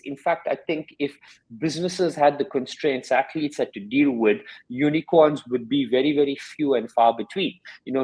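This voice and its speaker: A man's voice: medium pitch, darkish tone, breathy edge, rough recording. A man who works as a sports scientist and performance coach, answering interview questions in a reflective way and explaining with examples.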